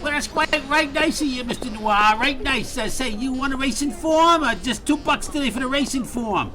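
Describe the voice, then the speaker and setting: An extremely high-pitched, folksy newspaper seller in a campy radio comedy. He is eager and chatty, pestering away with repeated little questions. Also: moderate pace, bright, forceful tone. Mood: eager, nosy. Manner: repetitive, pestering, folksy grammar. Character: eager, chatty, folksy male newsstand vendor.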